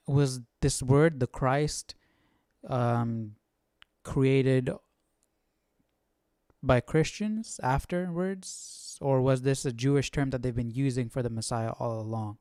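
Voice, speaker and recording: A man's voice: medium pitch, a little mellow, no echo, clean studio sound. A man hosting a livestream study, thinking aloud in a casual, questioning way. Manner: questioning, musing. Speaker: host of Bible study livestream.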